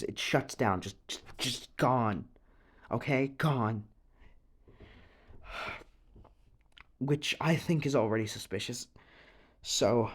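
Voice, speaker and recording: A man playing a rattled young American small-town conspiracy believer in an audio roleplay, speaking earnestly in a halting, stammering, rambling confession over the radio. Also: mid-range voice, a little mellow.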